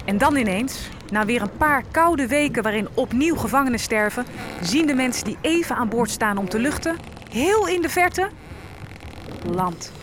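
A woman's voice: a little high, expressive, moderate pace, noisy background, close to an everyday voice. An actress playing an enslaved African woman held captive on a ship, narrating her ordeal in an audio drama. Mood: bleak.

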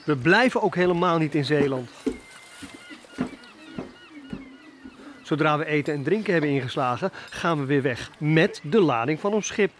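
A man's voice: fairly high pitch, animated, brisk, a bit bright, slight background noise. A man playing an indignant, callous slave-ship captain who blusters and argues in an audio drama. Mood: possessive. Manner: blustering, argumentative.

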